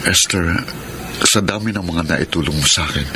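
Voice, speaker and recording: An actor with a Filipino accent playing a gentle, hesitant former lover in a radio soap drama, with a halting delivery. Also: male voice, low voice, background noise. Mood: hesitant, awkward. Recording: loud music bed under radio drama. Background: sentimental music.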